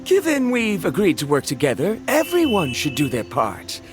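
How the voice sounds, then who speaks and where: A haughty young scholar from a video game, talks in a high male voice with very varied pitch. He is blunt and formal to the point of pomposity, over light background music. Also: wildly swooping pitch, moderate pace. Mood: self-assured. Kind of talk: scripted video game voice acting.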